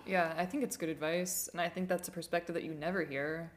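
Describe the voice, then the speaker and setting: A woman's voice: on the low side, fairly even intonation, fast. A woman co-hosting an advice show, chatting casually and reading listener questions aloud.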